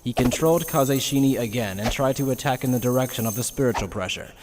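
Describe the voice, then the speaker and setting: A man's voice: mid-range voice, fast talker, noisy background. A man reading dramatic scripted story narration.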